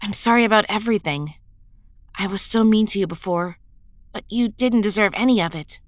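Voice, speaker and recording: A woman's voice: medium pitch, brisk, no room sound, close to an everyday voice. A woman playing a supportive, college-age superhero in an audio drama, talking casually and sincerely.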